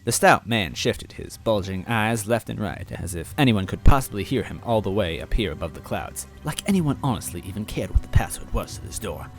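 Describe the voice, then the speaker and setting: A man reading a first-person story aloud in expressive, scripted narration. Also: lowish voice, a little fast, vocal fry, hardly any pauses, faint music behind. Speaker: story reader.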